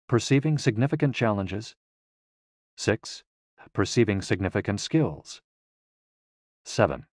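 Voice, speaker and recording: Male audiobook narrator, low register, measured explanatory read, dry close sound. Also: dry recording.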